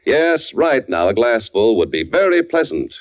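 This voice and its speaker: Lively male pitchman on dull old radio. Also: normal speed, no room sound. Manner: polished sales delivery. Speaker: commercial announcer. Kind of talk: 1940s radio advertisement read. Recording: dull vintage radio broadcast.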